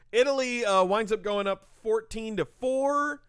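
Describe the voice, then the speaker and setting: A man's voice: sits high, measured pace, clear, resonant tone. A man hosting a casual comedy sports podcast, delivering updates with asides and frequent laughter. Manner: laughs often, talks through updates with asides.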